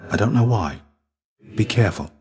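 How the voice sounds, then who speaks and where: An actor playing the ghost of a fallen Greek warrior in a video game, a gentle, fatherly mentor with measured, formal, old-fashioned phrasing. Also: lowish voice, lively intonation, natural voice. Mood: cautioning. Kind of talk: video game character dialogue.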